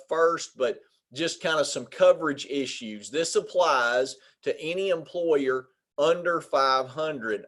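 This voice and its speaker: A man with a Southern Arkansas accent, an attorney giving a webinar presentation on employment law in a folksy way, pausing often on filler sounds. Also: fairly high pitch. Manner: folksy, many filler pauses.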